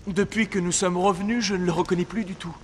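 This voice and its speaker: A man's voice: sits high, steady tone, fast, very noisy, close to an everyday voice. An actor playing an earnest, noble young king in a fairy-tale cartoon, speaking in a courtly, emotive way. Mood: warm, courtly.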